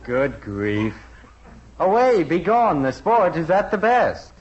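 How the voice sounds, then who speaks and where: An actor playing a glum, put-upon American adolescent son with wooden delivery in 1950s radio sitcom style. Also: male voice, fairly high pitch, big pitch swings, slow pace. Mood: glum, baffled. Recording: muffled, noisy vintage radio recording.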